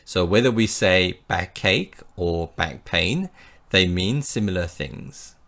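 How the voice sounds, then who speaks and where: A man's voice: low-pitched, lively intonation, takes it slowly, gravelly, fairly bright tone. A man teaching an English lesson, explaining phrases patiently and modelling example sentences for the listener to repeat. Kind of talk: slow scripted English lesson.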